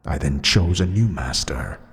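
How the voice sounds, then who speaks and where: A man voicing a solemn undead male guardian of a tomb, speaking in a grave, measured way. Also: low-pitched, lively intonation, vocal fry, a bit bright, pitched below the performer's own voice, full character voice. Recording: long hall reverb.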